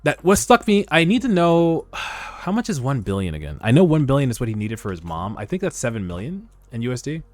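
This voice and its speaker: A man giving animated, slangy, sometimes profane reaction commentary as host of a read-along. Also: medium pitch, fairly quick, hardly any pauses, no room sound. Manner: casual, slangy, profane, excitable. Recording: background music under voice. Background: music.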